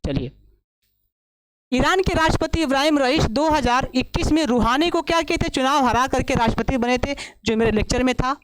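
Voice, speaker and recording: A male current affairs teacher giving an exam-prep lecture, hoarse from a long day of classes, who keeps asking himself questions and answering them. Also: very high voice, a little fast, fairly bright tone, rough recording. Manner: asks himself questions and answers them, repeats key points.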